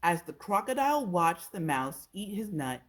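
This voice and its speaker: A woman's voice: on the low side, very animated, moderate pace. A woman reading a children's storybook aloud as a storytime narrator. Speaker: storytime reader.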